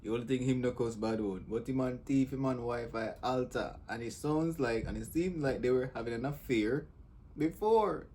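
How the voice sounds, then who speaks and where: A man's voice: mid-range voice, normal speed, warm tone, slight background noise. A man with a Jamaican accent hosting casual, joking reaction commentary. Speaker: host reacting to comedy clips.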